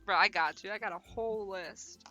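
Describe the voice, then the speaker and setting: A woman hosting a livestream, switching between casual slangy chat and reading game text aloud in an animated way. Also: medium pitch, moderate pace, over music. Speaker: host of a visual novel livestream.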